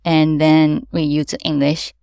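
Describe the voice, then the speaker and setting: A woman's voice: low voice, completely flat delivery, takes it slowly, vocal fry, dark tone, smooth, no room sound. A woman whose first language is Japanese, answering interview questions in careful non-native English as an event organizer, with light laughs as she explains.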